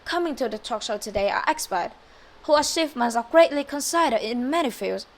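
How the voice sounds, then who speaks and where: A woman's voice: fairly high pitch, lively intonation, a little fast. A teenage girl with a Vietnamese accent playing a formal female news anchor and talk show host, reading a scripted mock broadcast. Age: teens.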